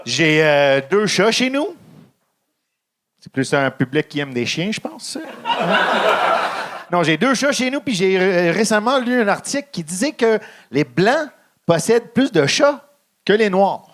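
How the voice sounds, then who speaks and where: Young anglophone guy, Quebec accent, deadpan dark stand-up, slightly high voice, hesitant delivery. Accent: English-speaking Quebecer speaking French. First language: English. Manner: halting with many ums, deadpan dark jokes. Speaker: stand-up comedian. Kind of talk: stand-up set.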